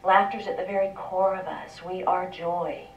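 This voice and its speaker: A woman speaking calmly and reflectively at a conference. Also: on the low side, normal speed, lo-fi sound. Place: conference hall, indoors. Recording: echoey hall, distant, faint noise.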